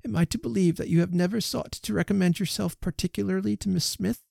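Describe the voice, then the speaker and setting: A man reading the part of a proud young gentlewoman who firmly rejects a suitor, speaking crisply and emphatically. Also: sits high, fast talker, dry recording, clean studio sound, pitched above the performer's own voice, exaggerated voice. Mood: firm refusal. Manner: crisp, emphatic, rising protest.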